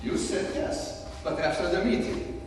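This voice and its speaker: The recording is very reverberant, with clear noise in the hall. An older man with a Palestinian accent speaks very slowly in a slightly high voice. He delivers a deliberate public statement, pausing between short phrases. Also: expressive. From Jerusalem. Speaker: audience member addressing a senator. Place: large echoing hall at a public town hall. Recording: very echoey hall, distant mic, noisy.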